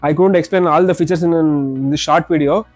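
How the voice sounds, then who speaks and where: Male, Indian accent, rapid salesman patter, slightly high voice, repeats himself while demonstrating products, music behind. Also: fairly even intonation, a little mellow, no room sound. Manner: repeats words and phrases while explaining. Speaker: shop salesman presenting products.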